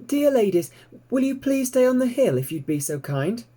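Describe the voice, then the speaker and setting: A man's voice: very high voice, very animated, moderate pace, warm tone, voice pushed up, full character voice. A man voicing an eager, polite young male piglet with a childlike, earnest manner in a storybook reading. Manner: courteous, earnest, pleading.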